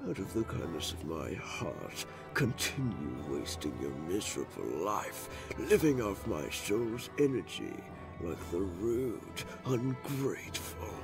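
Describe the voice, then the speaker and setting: Guy doing a creepy breathy hermit spirit, slow n condescending, cartoon villain, music behind. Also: deep voice, exaggerated voice. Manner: menacing, deliberate, condescending. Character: sinister cloaked hermit spirit, coldly patient, vengeful manipulator. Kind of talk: comic dub voice acting.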